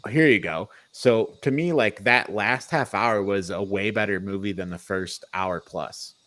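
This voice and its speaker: A man's voice: on the low side, measured pace, a bit bright. A man hosting a casual film discussion, speaking in an informal, slangy and opinionated way. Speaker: podcast host leading a film review.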